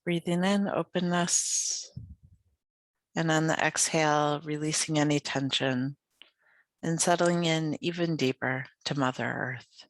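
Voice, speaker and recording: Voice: medium pitch, steady tone, dry recording. Meditation guide with a close, dry sound. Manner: soothing, unhurried, gently inviting with pauses. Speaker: yoga nidra meditation guide.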